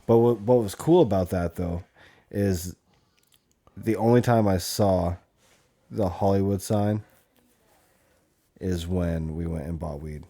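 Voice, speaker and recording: Podcast host: American male, thirty, deep relaxed voice, loose and foul-mouthed conversational delivery. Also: slow, pauses often. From Montana, USA. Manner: crude, profanity-heavy slang. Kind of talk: casual chat.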